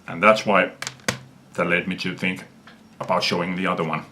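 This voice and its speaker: Low-voiced Finnish-accented man. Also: level tone, background noise. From Finland. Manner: earnest, unhurried, frequent "uh" pauses. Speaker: music fan vlogger. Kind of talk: casual video response.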